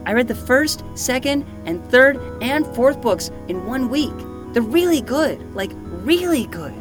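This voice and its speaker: Woman playing an earnest, lively twelve-year-old boy detective. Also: high-pitched, a little slow, over music. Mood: excited. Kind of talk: fiction podcast acting.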